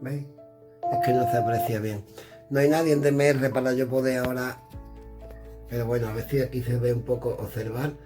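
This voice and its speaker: A man's voice: medium pitch, steady tone, fairly quick, pauses often, over music. A middle-aged man from chatting casually indoors as he demonstrates radio equipment, thinking aloud while he fiddles with the devices. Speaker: radio gear reviewer.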